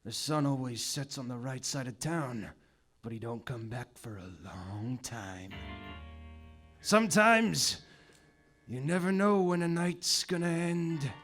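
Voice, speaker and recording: A man acting a laid-back island detective in a live stage comedy, mumbling noir lines that drop to low murmurs. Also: expressive, slow pace, fairly bright tone, soft background music. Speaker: performer in a live comedy play, as a detective from Maui.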